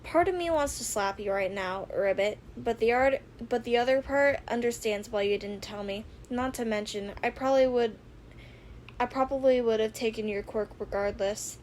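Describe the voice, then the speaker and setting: Woman voicing a measured, blunt teenage frog girl. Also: fairly high pitch, a little mellow, slight background noise, close to an everyday voice.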